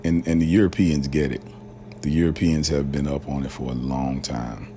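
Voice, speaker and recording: A man's voice: a bass voice, steady tone, moderate pace, mellow, slight background noise. A man from rural Alabama hosting a casual solo podcast, talking loosely with lots of fillers and slang. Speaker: podcast host.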